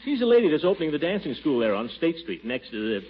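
An actor playing a pompous, blustering middle-aged bachelor who hems and stammers, in 1940s radio acting. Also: male voice, on the high side, sing-song, fast, a little hiss or hum. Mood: flustered coaxing. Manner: hemming, stammering, coaxing. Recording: muffled vintage radio broadcast.

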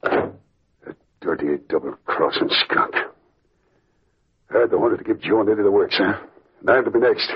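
An actor playing a suspicious, vengeful gangster in an old-time radio crime drama, gruff and menacing. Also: male voice, fairly low pitch, animated, moderate pace, pauses often. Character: suspicious, vengeful gangster, hot-tempered male killer. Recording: muffled vintage radio recording.

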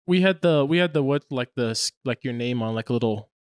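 A man's voice: mid-range voice, fairly quick, no room sound, clean studio sound. A young man hosting a podcast, telling stories and teasing his guest in casual chat. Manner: storytelling, teasing.

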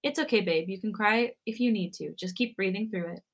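A woman's voice: mid-range voice, brisk, natural voice. A woman voicing a tender, encouraging young husband in a romantic story, speaking soothingly. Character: caring, reassuring young husband at a birth.

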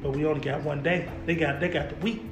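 A man's voice: fairly high pitch, fairly even intonation, quick pace, roomy sound, music in the background. A young man hosting a casual car podcast, talking in easy slang, repeating words and laughing often. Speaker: podcast host, local car photographer. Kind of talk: casual chat.

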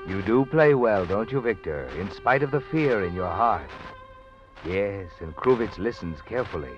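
A low-voiced, sardonic American storyteller played by a man narrates a vintage radio thriller with knowing suspense and swooping emphasis. Clear music plays behind him. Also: expressive, normal speed, muffled recording, lightly acted. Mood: suspenseful. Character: omniscient, sardonic male storyteller needling the protagonist. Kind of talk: 1940s radio thriller narration, second person. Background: dramatic music.

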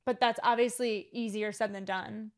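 A woman's voice: medium pitch, fairly even intonation. A woman in her late twenties co-hosting a podcast, talking candidly and earnestly in a casual chat, with frequent "like" fillers. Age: 20s. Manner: candid, earnest, speaks in quick runs with "like" fillers. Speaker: podcast co-host.

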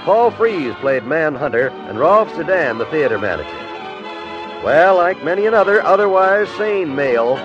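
A man works as a polished radio announcer in the 1950s manner. His mid-pitched voice rises and falls with salesman's cheer, on a dull old recording with faint music.

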